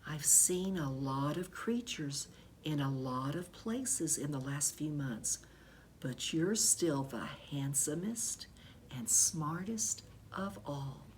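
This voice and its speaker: Kindly female teacher in a children's story, played by a woman reader: soft, whispery, confiding, faint background noise. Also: low voice, animated, slow. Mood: tender.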